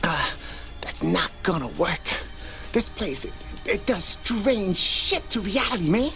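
An actor playing a jittery, paranoid American radio disc jockey in a video game, with an urgent, stammering delivery. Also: male voice, high-pitched, animated, slow, vocal fry, pushed and bright, soft background music, heavily acted. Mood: urgent, nervous.

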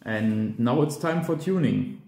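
A man in his thirties walks through a DIY build at a slow pace. His English is simple and non-native, given in halting steps at a medium pitch. The room around him adds a clear echo. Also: expressive, darkish tone. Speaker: amateur radio hobbyist presenter. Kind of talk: hands-on DIY tutorial. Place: hard-walled room indoors at a table. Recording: roomy, echoing indoor sound.

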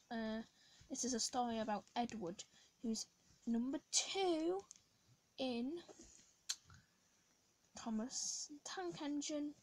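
A hoarse woman, a children's channel host, reading a picture book aloud at home, halting and restarting often. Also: fairly high pitch, slight background noise, rough recording. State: hoarse from a sore throat. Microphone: phone camera over the book.

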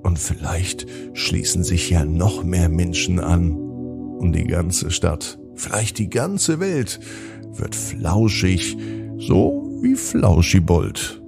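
A man's voice: low voice, sing-song, slow pace, creaky, loud music behind the voice. A man narrating a children's bedtime story in a soothing manner, drawing out words for emphasis.

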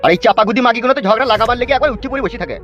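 A man's voice: extremely high pitch, expressive, rapid-fire, a bit bright. A man voicing an exasperated, blustering, foul-mouthed fellow in a comedy cartoon, complaining and swearing. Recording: muffled audio with faint music.

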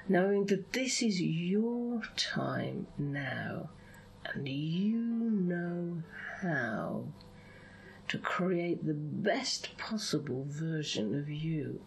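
Woman for hypnosis session; lively rise and fall, unhurried breathy phrasing that sinks into whispers. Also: fairly low pitch, slight background noise. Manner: soothing, drawn-out phrasing, often drops into breathy whisper. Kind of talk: hypnosis session, guided visualization.

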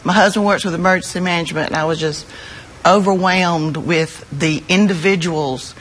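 A woman's voice: fairly low pitch, normal speed, husky, low-quality recording. An American woman, an art teacher, speaking as a guest in a live TV interview, earnest and emphatic.

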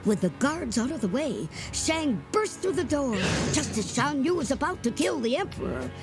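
Female storybook reader, expressive and animated, music under. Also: sits high, moderate pace. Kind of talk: read-aloud storybook narration.

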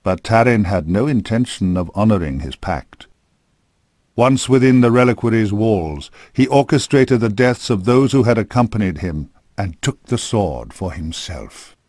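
Male narrator for fantasy game cutscenes; lowish register, grave formal storytelling, dry studio sound. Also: lively intonation, a little slow, darkish tone, no room sound. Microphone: close studio microphone.

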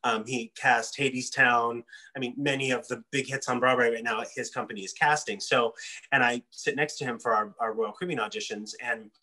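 Bright-voiced man explaining in an interview. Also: mid-range voice, fairly even intonation, brisk, rough recording. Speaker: casting director being interviewed.

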